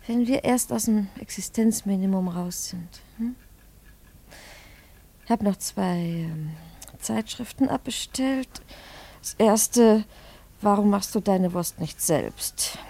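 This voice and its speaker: A chatty wife of medium pitch in a radio play. She is soft-hearted and cheerfully stubborn. She coaxes and gets excitable as she talks. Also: creaky. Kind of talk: German radio play acting.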